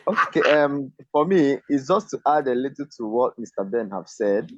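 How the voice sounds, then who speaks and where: A man's voice: medium pitch, animated, moderate pace, dry recording. Hesitant man on a call. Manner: hesitant, searching for words, fillers. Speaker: panel guest. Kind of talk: casual discussion on a video call. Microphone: video call. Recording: dull lo-fi video call audio.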